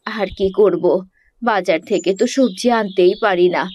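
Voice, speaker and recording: Woman as a gentle, weary village mother. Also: medium pitch, normal speed, dry recording, lightly acted. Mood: resigned. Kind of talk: Bengali animated fairy-tale voice acting.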